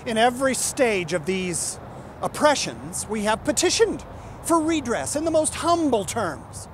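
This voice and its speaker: Male orator, impassioned and indignant dramatic recitation, very animated intonation, some noise in the recording. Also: extremely high pitch, measured pace. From United States. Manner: impassioned, indignant, emphatic declaiming. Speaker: reciter, live presenter.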